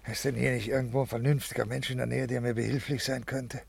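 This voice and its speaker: Gravelly, irritable, self-important bureaucrat. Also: male voice, mid-range voice, flat delivery, fast. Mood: brusque, commanding. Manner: clipped muttering soliloquy, peevish and officious. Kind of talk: 1950s German radio play acting. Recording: noisy vintage 1950s radio recording.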